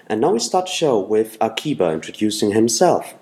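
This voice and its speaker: A man with a low voice opens his podcast as host. He reads a steady, scripted introduction at an even pace. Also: fairly quick. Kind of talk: scripted intro then interview.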